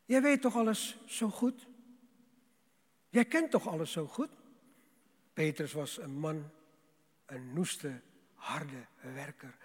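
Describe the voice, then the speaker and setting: A man preaching a sermon to a congregation in a dramatic, emphatic way, repeating phrases, with a husky voice. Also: mid-range voice. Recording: echoing hall, long reverb.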